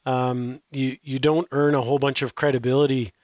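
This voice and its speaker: A middle-aged man answering interview questions in a relaxed, halting way, with many restarts and fillers. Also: mid-range voice, no room sound, dull audio. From Canada. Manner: hesitant, frequent restarts and fillers. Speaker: guest.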